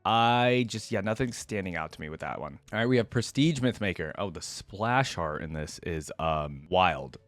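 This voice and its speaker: A man's voice: low-pitched, animated, normal speed, hardly any pauses, dry recording. A man giving casual reaction commentary as a video host, thinking aloud in informal, slangy language.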